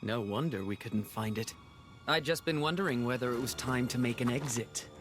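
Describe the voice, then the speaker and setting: An actor playing a smug, mockingly polite villain in a video game, with music behind him. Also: male voice, mid-range voice, moderate pace, natural voice. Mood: smug, menacing. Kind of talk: video game cutscene acting.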